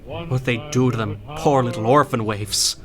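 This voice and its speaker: A man's voice: medium pitch, moderate pace, over music, close to an everyday voice. A man playing a brash, probing working-class rebel leader in a radio drama, blunt and colloquial. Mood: indignant.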